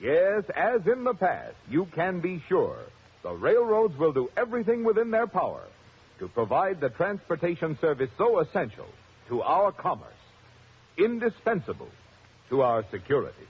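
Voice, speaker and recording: Husky old-time radio announcer guy, earnest and declaiming, lively up and down, dull lo-fi broadcast sound. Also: on the high side, normal speed. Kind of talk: scripted sponsor message.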